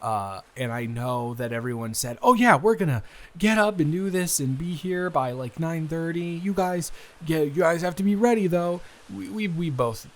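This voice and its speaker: A man's voice: on the high side, lively intonation, normal speed, close to an everyday voice. Man playing a musing, hesitant eldest brother. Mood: casual, relaxed. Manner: conversational, hesitant, rambling. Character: responsible eldest son, friend's brother, musing and wry. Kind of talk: improvised intimate audio roleplay.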